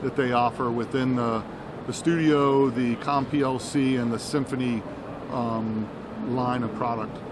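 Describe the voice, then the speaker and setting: A man's voice: mid-range voice, steady tone, unhurried. A man giving unscripted product demo commentary as an interviewer, explaining and rephrasing as he goes. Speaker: interviewer at a trade show. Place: indoor trade show booth. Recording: noisy trade show floor.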